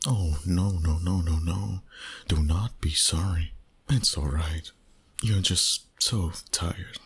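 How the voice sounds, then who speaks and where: A man voicing a flirty, dominant vampire boss in an intimate, teasing ASMR roleplay, soft and breathy. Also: low voice, heavily acted. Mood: tender reassurance. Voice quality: breathy, husky, often near a whisper. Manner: slow, soft, teasing seduction.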